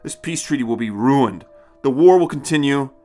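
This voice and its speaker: A man's voice: mid-range voice, expressive, moderate pace, mellow, soft background music, natural voice. A man voicing a ruthless, fanatical space colonel in an audio story, menacing and contemptuous. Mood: gloating. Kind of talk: audio short story character.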